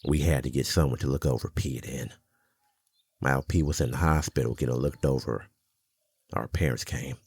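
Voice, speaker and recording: An actor playing an older small-town mountain man, a haunted but gentlemanly storyteller in an intimate ASMR roleplay, speaking in halting, folksy phrases with a gravelly, husky voice. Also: rumbling low, steady tone, no room sound, full character voice. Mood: somber, haunted.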